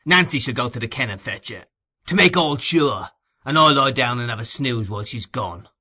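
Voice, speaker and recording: A man voicing a brutal, surly burglar who talks in a loud growl. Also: medium pitch, animated, moderate pace, husky. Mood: demanding. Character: brutal, surly male burglar, sick and bitter.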